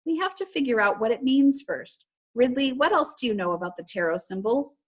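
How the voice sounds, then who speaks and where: A woman voicing a sharp-eyed, eager storybook girl with an urgent, emphatic way of speaking. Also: a little high, expressive, fairly quick, pitched above the performer's own voice.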